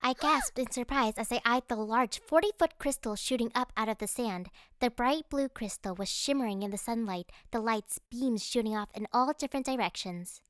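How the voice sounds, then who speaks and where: A woman's voice: a little high, moderate pace, few pauses, fairly bright tone, dry recording, clean studio sound. A woman reading scripted first-person fantasy narration as an audiobook narrator.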